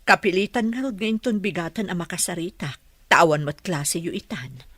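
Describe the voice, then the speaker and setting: An anxious, yearning mother in a Philippine radio drama. Her voice is fairly low and husky, recorded close and dry. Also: moderate pace, a bit bright, no room sound. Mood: yearning, worried.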